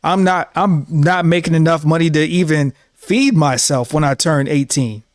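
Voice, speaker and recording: A man's voice: a little high, normal speed, dry recording, clean studio sound. A man in his thirties giving an earnest, preachy talk to camera as a show host, often repeating himself. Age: 30s. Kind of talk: casual monologue to camera.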